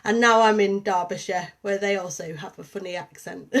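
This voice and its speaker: A woman with a Liverpool accent touched by Yorkshire, hosting a casual livestream and chatting warmly to viewers with lots of ums. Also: mid-range voice, normal speed, vocal fry. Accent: Liverpool and St Helens with a touch of Yorkshire. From Liverpool, England. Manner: chatty, warm greetings to viewers, many ums. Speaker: artist and programme coordinator hosting a livestream.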